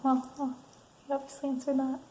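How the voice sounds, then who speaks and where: Female ASMR counselor role, higher-pitched yet mellow, unhurried, soft-spoken delivery. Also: monotone. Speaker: ASMR performer roleplaying a counselor. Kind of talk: soft-spoken ASMR roleplay, reading assessment statements. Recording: roomy sound, faint background noise.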